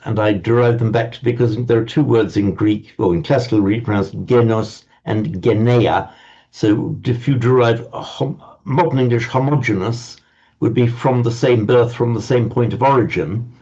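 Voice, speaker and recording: A man's voice: mid-range voice, dark tone, dull audio. An older man teaching a language class, playfully pedantic and prone to stumbling repetitions.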